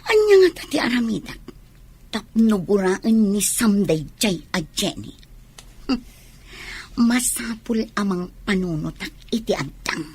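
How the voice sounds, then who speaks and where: Actress as a husky jealous scheming mistress. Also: female voice, mid-range voice, very animated, unhurried, darkish tone, full character voice. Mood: bitter, scheming. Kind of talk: Ilocano radio drama acting.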